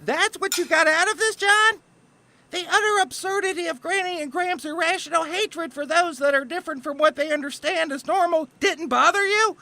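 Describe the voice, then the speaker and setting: Feisty, sarcastic kid for comedy audio drama: very high, piercingly bright, theatrically fed up. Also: male voice, moderate pace, dry recording, exaggerated voice. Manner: wordy, theatrical complaining. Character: exasperated, sarcastic, feisty youngster of the family.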